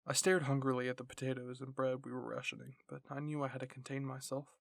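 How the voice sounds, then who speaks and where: A man reading a scripted first-person story in ASMR style, softly and in measured phrases. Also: mid-range voice, level tone, creaky, dry recording. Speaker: narrator and reader.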